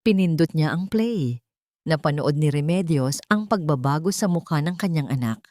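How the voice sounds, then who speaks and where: Female story narrator, slightly low pitch, dramatic scripted delivery, dry close recording. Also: lively intonation, normal speed, a little mellow, no echo.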